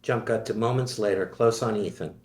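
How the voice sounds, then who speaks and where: A man reading the stage directions of a screenplay at a table read, in a plain, steady way. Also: lowish voice, a little fast, echoey room.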